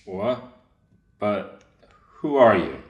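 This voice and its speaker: An extremely slow male voice sounds in an echoey room. It is a man acting a young American prisoner, wounded, weak and dazed, in a readers' theater drama. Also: lowish voice, big pitch swings, a little mellow, muffled recording, heavily acted.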